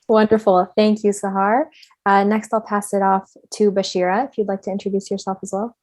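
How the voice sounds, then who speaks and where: A woman moderating a panel over a video call, talking in a casual, friendly way with many fillers, a little tired from fasting. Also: mid-range voice, flat delivery, a little mellow, dry recording.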